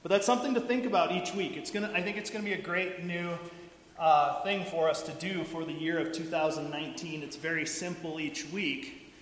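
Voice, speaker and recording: A man's voice: on the high side, brisk, fairly bright tone. A male pastor talking casually to his church before picking up a microphone. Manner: casual, plain-spoken. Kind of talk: informal sermon. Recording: echoey hall, faint room noise.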